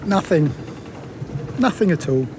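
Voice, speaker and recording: A man's voice: animated, measured pace. Laid-back man with lightish voice, noisy boat. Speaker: sailing vlog host. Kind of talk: casual explanatory vlog commentary. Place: outdoors on a sailboat. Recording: noisy outdoor boat recording, engine rumble.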